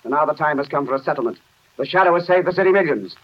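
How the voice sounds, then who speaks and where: An actor playing a stern, forceful city mayor in a 1930s radio drama, clipped and accusing. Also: male voice, fairly high pitch, animated, very fast. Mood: angry, demanding. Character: stern, demanding city mayor under pressure. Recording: muffled vintage 1930s radio recording.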